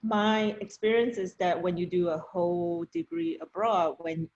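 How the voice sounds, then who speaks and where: A woman from Malaysia, a university program director, talking in a conversational, measured way in a podcast interview. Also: on the low side, steady tone, darkish tone, highs cut off, a little hiss or hum.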